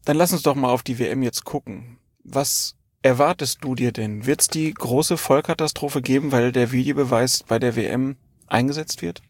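Guy hosting a footy podcast, medium voice, chatty, thinks out loud, dry close recording. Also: mid-range voice, normal speed, few pauses, no room sound. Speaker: podcast host asking questions. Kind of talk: casual conversational interview.

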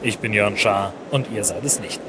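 A man hosting his podcast in a chatty, relaxed way with many fillers and restarts, recorded outdoors at a busy conference venue. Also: on the low side, normal speed. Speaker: podcast host. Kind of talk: casual solo podcast recap. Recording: noisy outdoor background. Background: crowd and traffic ambience.

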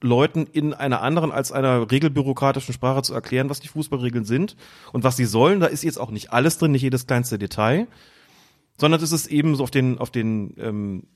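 A man calmly explaining football rules in a casual podcast chat, hesitant and full of fillers. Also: mid-range voice, fairly quick. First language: German. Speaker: referee rules expert guest.

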